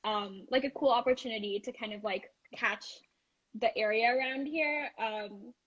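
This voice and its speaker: A woman's voice: a little high, normal speed, highs cut off. A woman who grew up in Malaysia and Thailand, guesting on a video call and talking casually with many "like"s. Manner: relaxed, uses "like" a lot. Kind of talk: casual interview chat.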